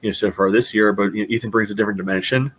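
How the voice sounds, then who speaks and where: A man casually analysing sport as a podcast host, in a halting way peppered with filler phrases. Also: fairly low pitch, fairly even intonation, fairly bright tone, husky. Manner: halting, repeatedly peppers speech with "you know".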